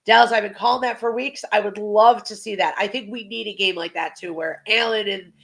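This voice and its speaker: A woman's voice: mid-range voice, fairly even intonation, brisk, vocal fry. A woman hosting a casual football preview podcast, chatting and asking questions, with a slightly congested voice. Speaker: sports podcast host.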